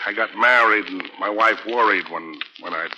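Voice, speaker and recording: An actor playing a humble, anxious working-class bridge worker, speaking hesitantly with stammers in 1940s radio drama style. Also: male voice, lowish voice, normal speed, close to an everyday voice. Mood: humble, earnest. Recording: noisy old 1940s radio recording.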